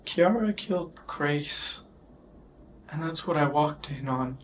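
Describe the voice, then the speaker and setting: A man being questioned by police, recounting in a halting, shaken way while getting over a sickness. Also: on the high side, expressive. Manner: shaken, halting, repeats himself. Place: police questioning, recorded on bodycam. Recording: noisy police bodycam audio.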